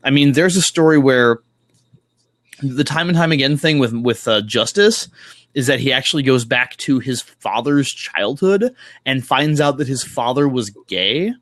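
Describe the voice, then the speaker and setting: A man chatting casually as a podcast guest, halting and thinking aloud, correcting himself and swearing offhandedly. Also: medium pitch, fairly bright tone, no echo. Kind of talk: casual chat about comics.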